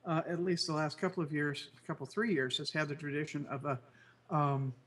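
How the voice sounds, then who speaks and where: Congested male co-host, higher voice, nasal and croaky, meandering delivery. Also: steady tone, brisk, fairly bright tone. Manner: rambling, many fillers and restarts. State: congested, recovering from flu. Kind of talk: casual news chat show.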